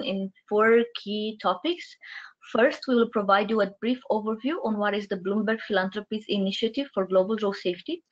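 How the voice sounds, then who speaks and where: A woman from Romania presenting a webinar, giving a methodical, structured overview. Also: medium pitch. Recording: muffled call audio.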